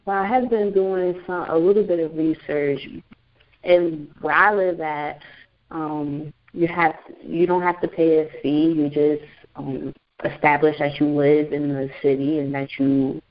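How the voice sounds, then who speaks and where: A woman's voice: deep voice, fairly even intonation. Chatty young woman on muffled call-in audio. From Newark, New Jersey. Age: 20s. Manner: chatty, loose, with many fillers and quick laughs. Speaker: guest author and internet radio host. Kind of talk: casual phone interview chat.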